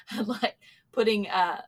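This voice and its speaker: A woman's voice: medium pitch, takes it slowly. A woman author answering interview questions casually, loose and hedging, with easy laughter. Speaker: author guest.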